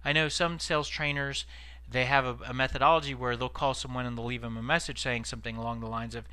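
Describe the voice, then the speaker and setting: A man presents practical training in a conversational, explanatory way. His voice is bright and forward at an average pitch, recorded dry and close, with key words given extra stress. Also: steady tone, fast talker, smooth, no room sound. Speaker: sales trainer presenting tips. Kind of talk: informal instructional presentation.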